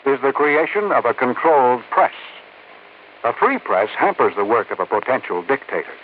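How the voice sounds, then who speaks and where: Formal male announcer, animated delivery, faint hiss of old radio. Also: medium pitch, phone-quality sound. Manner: formal and declaiming. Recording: thin hissy old radio broadcast.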